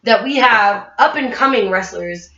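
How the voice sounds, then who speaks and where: A brash, excitable woman hosting a casual, rowdy group chat and swearing freely. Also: on the high side, unhurried. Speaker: host. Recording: roomy, distant, slightly muffled mic.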